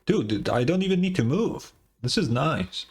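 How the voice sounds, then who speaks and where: A man's voice: on the high side, lively intonation, normal speed, a little mellow, husky, faint music behind. A young man giving casual, slangy gaming commentary, often incredulous and swearing. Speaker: streamer reacting to a game.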